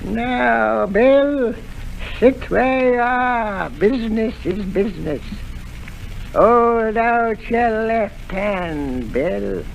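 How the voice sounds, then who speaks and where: A slow, sinister blind pirate. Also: male voice, high-pitched, gravelly, a little hiss or hum, exaggerated voice, low-quality recording. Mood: menacing. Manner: slow, menacing, taunting. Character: sinister blind old beggar pirate, cold and mocking. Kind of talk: 1930s radio drama acting.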